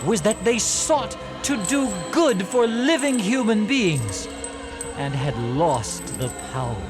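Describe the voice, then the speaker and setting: A man narrating a classic story for an audio drama in a deliberate, expressive, theatrical way. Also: high voice, music in the background, heavily acted.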